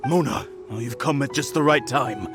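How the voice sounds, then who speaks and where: A man's voice: mid-range voice, normal speed, over music, natural voice. A man playing a polite, troubled innkeeper of a small inn in a video game, talking plainly. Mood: worried. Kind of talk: video game character voice acting.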